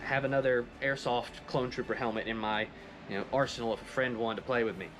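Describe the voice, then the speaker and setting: A man's voice: medium pitch, fairly even intonation, brisk, over music. A man giving a casual, enthusiastic recap of a craft project as a hobbyist video presenter.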